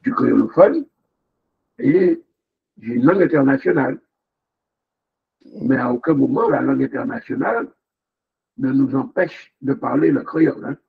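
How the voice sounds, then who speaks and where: Male jury member on a tinny call line; gravelly, very raspy, emphatic. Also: mid-range voice, lively intonation, moderate pace, long pauses, slight background noise. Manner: emphatic, rhetorical questions. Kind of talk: academic remarks at a thesis defense.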